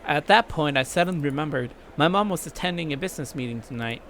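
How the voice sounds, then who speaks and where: A man's voice: a little high, lively intonation, quick pace, fairly bright tone, slight background noise. A young American man hosting a let's play, reading game narration with irreverent, casual commentary. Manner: irreverent, swearing.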